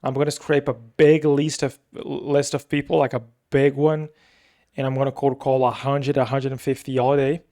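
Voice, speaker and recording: A man's voice: mid-range voice, flat delivery, normal speed, dark tone. A young man with a Brazilian accent, talking through his work week in a loose, rambling vlog with many fillers and repeats. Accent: Brazilian Portuguese. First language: Portuguese. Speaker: agency owner recapping his week. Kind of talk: casual weekly recap vlog.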